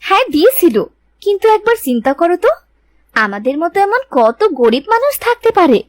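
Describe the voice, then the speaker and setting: Woman as high, animated village wife. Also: brisk. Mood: earnest. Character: bossy but good-hearted village wife. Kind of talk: Bengali cartoon folk-tale dubbing.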